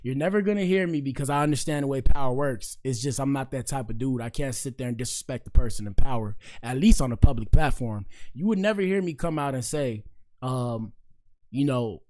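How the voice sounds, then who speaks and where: A young man with a hoarse, worn voice hosts a loose livestream podcast. He rambles in slang at a middling pitch and talks to his viewers like friends. He grew up in Miami-Dade County. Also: brisk, a little mellow. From Miami-Dade County, Florida. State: hoarse, has partly lost his voice. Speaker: podcast host. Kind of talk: casual livestream commentary.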